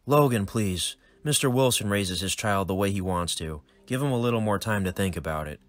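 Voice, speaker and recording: A calm, measured older mentor with a lowish voice, played by a man. The recording is close and dry. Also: no echo, close to an everyday voice.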